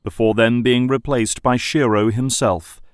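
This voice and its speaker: A man's voice: medium pitch, fairly even intonation, measured pace, clear, resonant tone, no room sound, clean studio sound. A man reading scripted narration for an audio drama.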